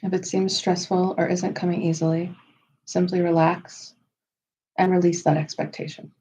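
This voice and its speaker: A woman leading a guided meditation in a slow, soothing style, with measured, unhurried phrases and pauses. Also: on the low side, little pitch movement, warm tone, breathy edge, low-quality recording.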